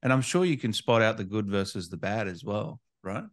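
A man's voice: on the low side, expressive, a little fast. A man hosting an interview, asking casual questions and chiming in with short agreements. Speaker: podcast interviewer.

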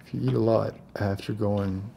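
A man acts out an unstable survivor of the apocalypse, coddling his captive in an ASMR roleplay. The voice is deep-set and mellow with a creaky edge. He talks softly and haltingly, wandering off mid-thought as he coaxes. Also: steady tone, a little hiss or hum. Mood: coaxing, rambling. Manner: halting, rambling, soft-spoken coaxing.

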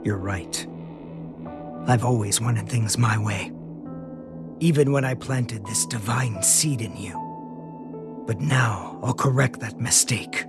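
An actor playing a solemn, soft-spoken mage burdened by regret, with formal, measured speech in an animated game cutscene. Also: male voice, medium pitch. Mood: regretful, determined. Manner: formal, measured, articulate wording. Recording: dramatic music under the voice.

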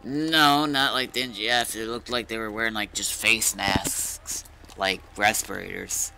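A man's voice: fairly low pitch, fairly even intonation, measured pace, gravelly, sharp tone, a little hiss or hum, rough recording. A man talking casually in video game roleplay, in short, slightly hesitant phrases. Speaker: gamer, roleplay companion.

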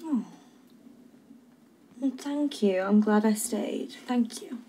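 An actress playing a nervous, sceptical young bride-to-be in a naturalistic film drama, speaking softly and hesitantly. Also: female voice, medium pitch, takes it slowly, a little hiss or hum, close to an everyday voice. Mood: uneasy, polite. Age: young adult.

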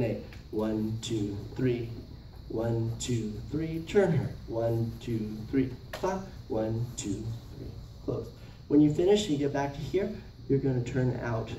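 A man's voice: medium pitch, warm tone. A middle-aged man teaching a dance, giving short instructions and calling out counted steps. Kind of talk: dance lesson demonstration with step counting. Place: large carpeted indoor multipurpose room. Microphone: camera across the room. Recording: roomy, distant camera mic, faint noise.

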